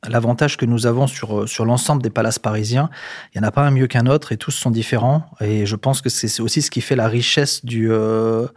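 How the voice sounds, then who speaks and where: A man's voice: medium pitch, fairly even intonation, fairly quick, dry recording, clean studio sound. A man in his forties from southern France, a hotel manager answering interview questions with many fillers and restarts. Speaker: hotel general manager, guest.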